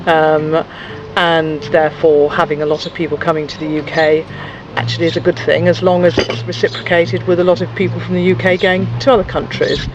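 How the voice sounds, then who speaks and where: A man's voice: on the high side, level tone, brisk, highs cut off, noisy background. A man from England giving earnest, opinionated answers, qualifying his points with many ums. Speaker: interviewee.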